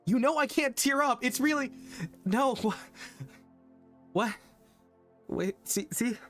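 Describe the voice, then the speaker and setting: Man playing lovestruck undead monster, high-pitched and earnest. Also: a bit bright, soft background music, exaggerated voice. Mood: tearful, bewildered. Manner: halting, earnest dramatic monologue with repeated phrases. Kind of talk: theatrical romantic monologue.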